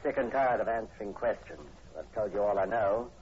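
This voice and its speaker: A man's voice: lowish voice, steady tone, brisk, darkish tone, natural voice. An actor playing an ill-tempered, reclusive landowner in his forties, curt and threatening, in 1940s radio horror style. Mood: hostile, threatening. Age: middle-aged. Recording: dull, noisy old radio broadcast recording.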